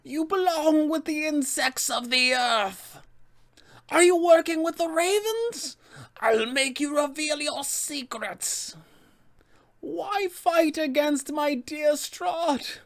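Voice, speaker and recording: A man voicing an ancient, fanatical witch from a fantasy roleplaying game, speaking in slow, theatrical, drawn-out phrases. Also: very high voice, fairly bright tone, voice pushed up, exaggerated voice. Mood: berating, threatening. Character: ancient wicked witch, 400 years old, fanatically devoted, menacing.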